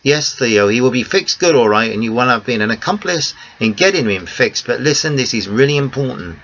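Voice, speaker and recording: A man's voice: mid-range voice, lively intonation, brisk, a bit bright, slight background noise, natural voice. A man reading the part of a determined young woman, speaking firmly and earnestly to friends and family. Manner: firm, earnest pleading. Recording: dull lo-fi recording.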